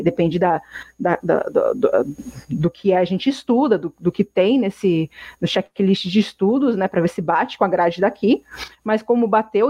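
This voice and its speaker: A woman's voice: medium pitch, dark tone, no echo. Young brazilian woman rambling on, lots of um and restarts, dry dull lo-fi sound. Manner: rambling, many restarts and fillers. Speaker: interviewee, exchange student. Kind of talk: casual interview answer.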